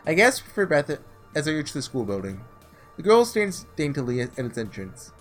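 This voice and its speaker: Animated man reading and reacting. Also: on the high side, moderate pace, faint music behind. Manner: animated, dramatic reading with offhand sarcastic asides. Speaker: gaming host reading aloud and reacting. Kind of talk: let's-play commentary and read-aloud narration of a visual novel.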